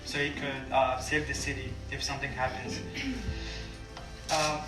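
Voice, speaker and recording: A young man from Syria with a Kurdish-accented English, telling his family's story to an audience at a community lunch in plain, halting sentences. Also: mid-range voice, flat delivery, distant mic. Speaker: refugee guest speaker. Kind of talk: personal story told to an audience. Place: large room at a community lunch. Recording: echoey room, voice from afar, loud music.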